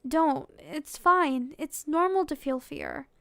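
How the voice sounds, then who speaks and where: Woman reading as a high-voiced awkward teenage boy. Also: big pitch swings, slow pace, gravelly, a bit bright, no echo, studio quality, full character voice. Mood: comforting.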